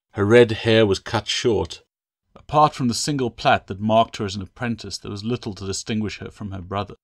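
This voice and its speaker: A man's voice: lowish voice, dry recording, studio quality. A man reading his own fantasy novel aloud as audiobook narration.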